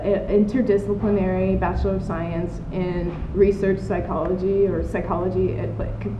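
A woman in her thirties from the United States, talking casually and reflectively in an interview, with many fillers and restarts, recorded outdoors on a patio. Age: 30s. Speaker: artist being interviewed. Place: outdoor patio of an art studio. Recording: roomy, dull outdoor recording with rumble.

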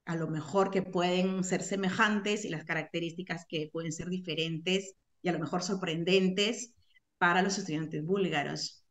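Peruvian woman teaching, lower voice. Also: fairly even intonation, normal speed. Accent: Peruvian Spanish. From Peru. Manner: hesitant, many fillers and self-corrections. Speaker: Spanish teacher presenting a webinar. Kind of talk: webinar presentation. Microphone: video call.

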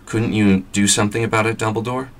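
A man voicing a stern, brisk older female witch professor. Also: on the low side, steady tone, distant mic, natural voice. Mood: worried.

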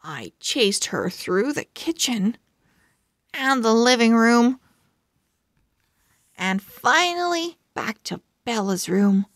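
Woman as an excitable little pug, very slow delivery. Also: a little high, no echo, clean studio sound. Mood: eager, dramatic. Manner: earnest, dramatic, confiding. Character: excitable, eager little pug writing a diary.

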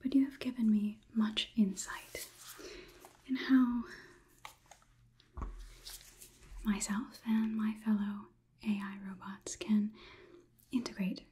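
A young woman playing a polite, condescending AI robot overlord in a slow, breathy ASMR whisper. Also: a little high, mellow. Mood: calm, clinical. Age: young adult. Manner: slow, soothing, clinical, patronizing. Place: small indoor room.

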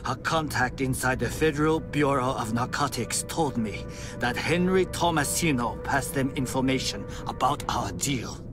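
An actor playing an older Chinese crime boss in a video game, cornered but defiant, speaking formally. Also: male voice, medium pitch, close to an everyday voice. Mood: guarded. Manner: measured, formal, unyielding. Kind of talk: dramatic video game acting. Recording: music behind the voice.

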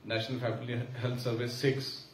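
A man with an Indian English accent giving a formal, measured speech at a conference, pausing with fillers. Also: mid-range voice, completely flat delivery. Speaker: senior official giving keynote. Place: large conference hall. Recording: echoey hall from far off, faint hiss.

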